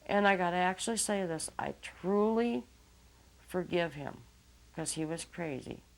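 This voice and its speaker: A woman giving personal interview testimony, slow, emotional and sincere. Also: lowish voice, slight background noise.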